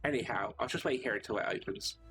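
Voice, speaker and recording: A man voicing a shaken male cab passenger who speaks slowly and halts while searching for words, over game music. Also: fairly low pitch, close to an everyday voice. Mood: choked up. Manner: halting, slow, groping for words.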